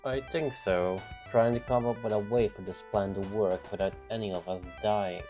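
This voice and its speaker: A man's voice: fairly low pitch, steady tone, normal speed, dark tone, soft background music, lightly acted. A man voicing a thoughtful, cautious strategist in an amateur cartoon comic dub. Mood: pondering.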